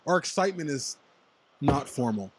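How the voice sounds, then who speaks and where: A young man co-hosting a casual fan podcast, chatting excitedly and laughing often. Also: mid-range voice, fairly quick, a little hiss or hum. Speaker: podcast co-host. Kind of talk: casual chat between fans.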